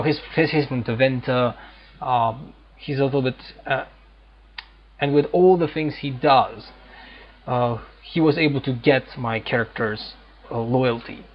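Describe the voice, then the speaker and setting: A man giving casual, unscripted gameplay commentary, explaining things haltingly as he searches for words. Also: medium pitch. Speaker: gamer hosting a playthrough. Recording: dull lo-fi mic, faint noise.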